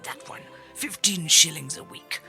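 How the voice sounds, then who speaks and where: Storybook miser: woman plays grumpy old man, cold, curt, dismissive, forceful edge, music behind. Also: a little high, unhurried, full character voice. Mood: scornful.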